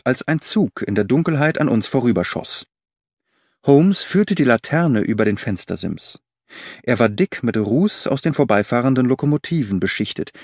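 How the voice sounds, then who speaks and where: Male audiobook narrator, mid pitch, lively melodic reading, dry close recording. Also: no echo. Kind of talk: scripted first-person narration of a German audiobook.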